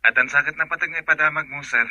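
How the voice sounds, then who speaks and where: Deferential Filipino underling, very forceful tone, lo-fi radio drama. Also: male voice, a little high, fairly even intonation, rapid-fire, dry recording. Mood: respectful. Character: deferential subordinate addressing his superior. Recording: dull lo-fi radio sound.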